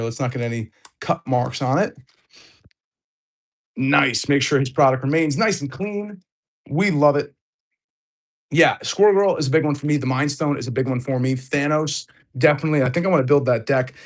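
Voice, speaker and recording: A man hosting a live card-opening stream, excitable and hyped, greeting viewers, his voice a little off as he recovers from illness. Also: on the high side.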